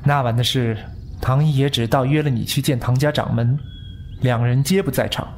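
A man playing a composed, courteous martial-arts lord in an audio drama, measured and scheming. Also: mid-range voice, steady tone, slight background noise, lightly acted. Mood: calm, calculating. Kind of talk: Mandarin audio drama acting. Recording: background music under voice.

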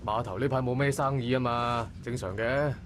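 A man's voice: mid-range voice, steady tone, normal speed, noisy background, natural voice. A man playing a laid-back, blunt dock worker in a visual novel, with a Hong Kong Cantonese accent. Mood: casual.

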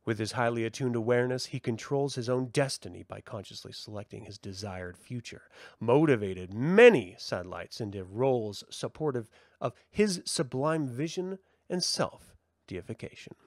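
A man reading a formal essay aloud as a host, with measured, emphatic delivery. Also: mid-range voice, animated, no room sound, clean studio sound. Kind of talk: expressive scripted essay reading.

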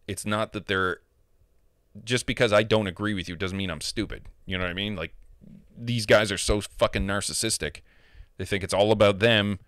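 A man in his thirties with a deep voice, ranting casually and profanely into a handheld mic held close, full of opinions. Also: a bit bright, dry recording. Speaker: gamer vlogger. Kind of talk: casual, ranting monologue to camera. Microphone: handheld microphone held close to the mouth.

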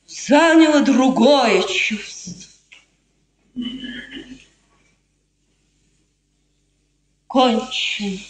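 An actress in a 1950s radio drama playing a proud, spurned countess, imperious and bitter, declaiming with dramatic pauses and biting irony. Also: female voice, fairly high pitch. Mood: stunned, wounded. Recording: echoey, hissy 1950s radio recording.

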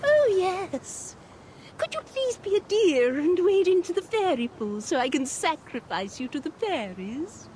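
Very high-pitched, deranged fairy worshipper. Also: female voice, sing-song, measured pace, a little mellow, a little hiss or hum, exaggerated voice. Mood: sweetly menacing. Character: sweetly deranged, fairy-obsessed woman performing sacrifices. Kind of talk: video game cutscene acting.

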